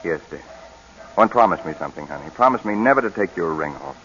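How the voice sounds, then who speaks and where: A man's voice: deep voice, lively intonation, quick pace, fairly bright tone. An actor playing an eager, ardent young American newspaperman in love, in 1940s radio drama style. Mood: tender. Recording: dull old radio recording, faint music.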